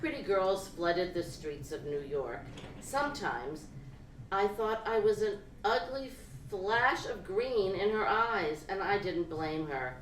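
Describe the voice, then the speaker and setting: A woman gives an expressive literary reading of first-person prose at a live event, phrasing it deliberately and dramatically. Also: mid-range voice. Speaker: reader of fiction at a live event. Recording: roomy, distant live-event recording with noise.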